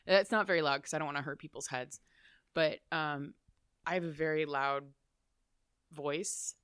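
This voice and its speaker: A young adult woman talking as an interview guest, loud and chatty, laughing often. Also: sits low, steady tone, normal speed, clear, resonant tone, dry recording, clean studio sound. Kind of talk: casual interview chat.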